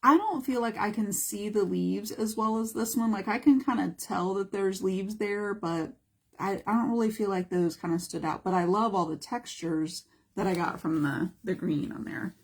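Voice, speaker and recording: A hoarse woman, a mixed media art teacher, chatting casually through a live craft demonstration, greeting viewers by name. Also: medium pitch, moderate pace. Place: home art studio.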